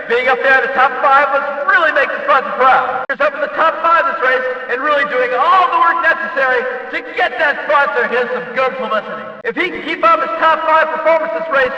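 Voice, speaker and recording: A man playing an opinionated, excitable stock-car race announcer in a video game, giving emphatic play-by-play commentary. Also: very high voice, dull audio, heavily acted. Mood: upbeat, approving. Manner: emphatic, punchy play-by-play delivery. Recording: echoey reverberant PA sound, distant.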